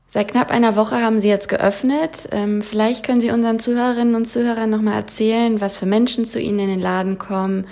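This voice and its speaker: A woman's voice: fairly high pitch, steady tone, fast, hardly any pauses, a little mellow. A woman hosting a podcast, interviewing a guest and then summing up in an earnest, reflective manner with a few fillers. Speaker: podcast host.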